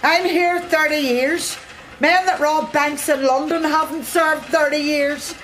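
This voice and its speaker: An actress playing a teasing, earthy mill worker who has been there thirty years, speaking in a radio drama. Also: female voice, high-pitched, fairly even intonation, a little slow, fairly bright tone, a little hiss or hum, rough recording. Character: middle-aged mill worker, bawdy and motherly.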